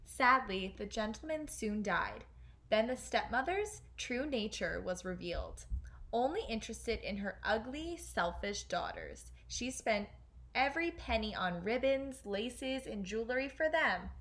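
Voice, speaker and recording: A woman teacher reading a children's fairy tale aloud in an expressive storytelling style. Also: fairly high pitch, a little slow.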